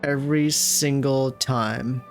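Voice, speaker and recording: A woman game streamer with a low voice talks casually over gameplay. Her delivery is dry and offhand, with music in the background. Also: fairly even intonation, slow. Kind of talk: casual playthrough commentary.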